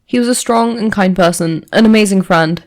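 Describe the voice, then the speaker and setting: A woman's voice: medium pitch, brisk, lightly acted. Woman as teen boy, solemn, sincere, ceremonial, mock-funeral speaker, storybook character. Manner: ceremonial eulogizing.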